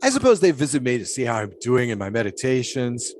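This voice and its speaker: A man's voice: medium pitch, very animated, fast talker, slight background noise, close to an everyday voice. A man playing a folksy, philosophical pole sitter. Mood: musing. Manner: folksy, musing, preachy. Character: folksy 1920s male flagpole sitter, pious and philosophical. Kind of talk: audio drama acting.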